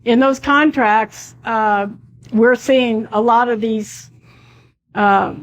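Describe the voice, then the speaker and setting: A woman's voice: fairly high pitch, fairly even intonation, takes it slowly. Woman speaking while losing her voice. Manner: conversational, with many fillers. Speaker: speaker at a public meeting. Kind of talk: public meeting talk.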